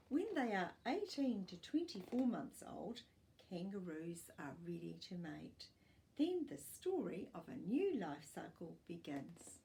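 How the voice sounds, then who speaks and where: An older woman reading a children's non-fiction book aloud as a storytime host, with expressive, deliberate delivery for young children. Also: lowish voice. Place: small furnished living room, indoors.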